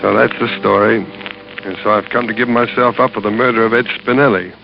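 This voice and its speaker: Hard-boiled American avenger, muffled radio. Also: male voice, on the low side, fairly even intonation, fairly quick, creaky. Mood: weary, insistent. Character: grim, vengeful American man, world-weary and stubborn. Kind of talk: 1940s radio acting. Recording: muffled old radio recording with music.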